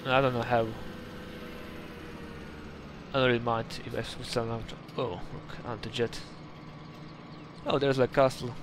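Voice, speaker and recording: A man's voice: medium pitch, little pitch movement, a little slow, pauses often. Casual Slovak-accented man chatting over gameplay. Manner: chatty, rambling, with laughs and mild swearing. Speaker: gaming YouTuber. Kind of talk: casual let's-play commentary. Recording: game sounds and engine noise behind.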